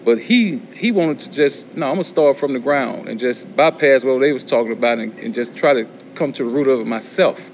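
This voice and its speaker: A man's voice: mid-range voice, brisk, few pauses, low-quality recording. A male student reasons aloud in a mid-range voice. He is colloquial and repeats words for emphasis, with faint noise behind him. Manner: colloquial, emphatic repetition, frequent "you know". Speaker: philosophy student. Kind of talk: thinking aloud in class.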